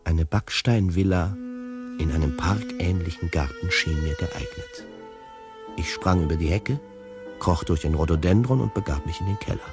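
An actor narrating a radio play in the first person as a wry, fastidious tiger, in a deliberate literary manner. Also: male voice, sits low, huge pitch swings, music in the background. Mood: wry reflection. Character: wry, fastidious tiger telling his own story.

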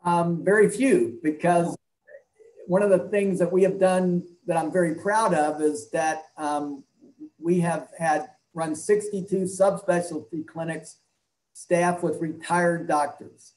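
A man in his sixties giving measured, halting answers as an interview guest over a video call from an indoor room. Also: on the high side, fairly even intonation, a little mellow, echoey room, low-quality recording. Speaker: interview guest, health clinic leader and doctor.

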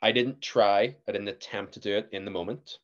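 A young man with a Northern Irish accent, a guest actor telling stories in a casual podcast chat, animated and laughing often. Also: lowish voice, fairly quick, muffled recording. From Northern Ireland.

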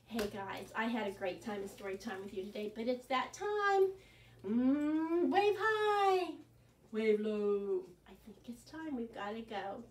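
A woman's voice: fairly high pitch, a little slow, echoey room, far from the mic, slight background noise. A woman in her sixties, a children's librarian, reading a picture book aloud with gasps and sing-song songs, recorded in a living room by a camera set back from her. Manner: animated, gasping, sing-song. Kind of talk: storytime reading and singing. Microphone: camera set back across the room.

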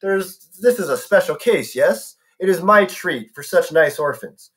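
A man's voice: high-pitched, animated, a little slow. A man doing a sweetly sinister old woman. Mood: coaxing. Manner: soft, coaxing, formal phrasing. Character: sweetly sinister old woman, lonely garden statue maker.

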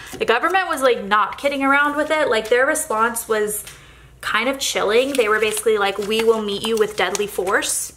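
A woman's voice: fairly high pitch, pushed and bright, a little hiss or hum. A young woman vlogger with a congested, nasal voice from a cold, chatting casually with lots of fillers while narrating a planner session. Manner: chatty, rambling with many fillers.

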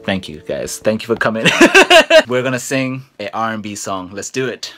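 A man's voice: fairly low pitch, animated, normal speed, sharp tone, faint music behind. A young man in his twenties hosting a casual vlog in a small bedroom, filming himself at arm's length and teasing his friends with frequent laughs. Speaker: vlogger host. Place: small home bedroom, indoors. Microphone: handheld camera at arm's length.

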